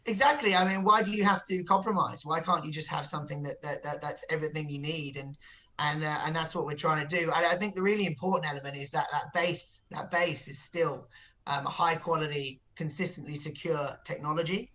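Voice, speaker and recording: Bright-voiced man explaining his business. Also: fairly high pitch, fairly even intonation, a little fast. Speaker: company chief executive, interview guest. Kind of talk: business interview, explanatory pitch.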